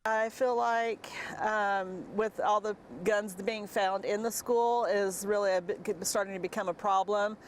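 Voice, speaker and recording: Flat-toned, hesitant woman in noisy surroundings. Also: medium pitch. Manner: hesitant, halting. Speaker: parent interviewee. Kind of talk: unscripted street interview.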